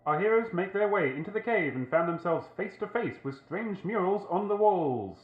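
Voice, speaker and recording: An actor playing the theatrical, suspenseful narrator of an old-time radio adventure serial. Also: male voice, high voice, animated, moderate pace. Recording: tinny, echoing old-radio sound.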